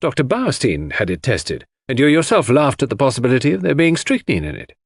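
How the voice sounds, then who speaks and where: A man voicing an earnest, puzzled gentleman, a detective's trusting friend, with an eager, questioning manner. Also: medium pitch, wildly swooping pitch, a little fast, dry recording, voice pushed up. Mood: curious, baffled.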